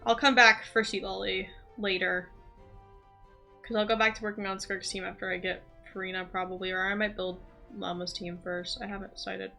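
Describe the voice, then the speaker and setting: A woman giving casual, rambling commentary on a live gaming stream, thinking aloud in an excitable, giggly way. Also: medium pitch, moderate pace, vocal fry, soft background music. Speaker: livestreamer playing a role-playing game.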